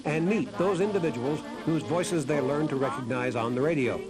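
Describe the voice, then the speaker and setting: A man's voice: on the high side, moderate pace. A man reading a scripted historical documentary narration. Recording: narration over background music. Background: music.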